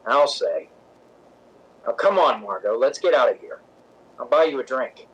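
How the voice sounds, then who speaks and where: A man's voice: mid-range voice, lively intonation, measured pace, fairly bright tone, breathy edge, rough recording. A man playing a weary, frank boyfriend who is firm and dryly pleading, in a radio drama. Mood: weary, resigned. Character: weary, frank, loving boyfriend of a temperamental actress. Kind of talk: radio drama acting in 1950s style.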